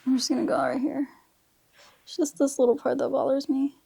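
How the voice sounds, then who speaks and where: Female vlogger, mid 20s. Higher-pitched, mellow voice with fry, informal and chatty. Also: little pitch movement, fairly quick. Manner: informal, slangy speech with frequent "like". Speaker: beauty vlogger. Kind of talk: casual chatty makeup tutorial.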